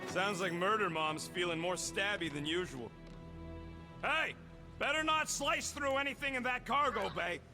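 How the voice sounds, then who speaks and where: An actor voicing a cranky, brash little creature, a tech-minded space outlaw in a video game, snappy and sarcastic. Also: male voice, high voice, lively intonation, normal speed, over music. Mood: irritated. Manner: snappy, sarcastic, loud, slangy. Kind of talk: animated video game character acting.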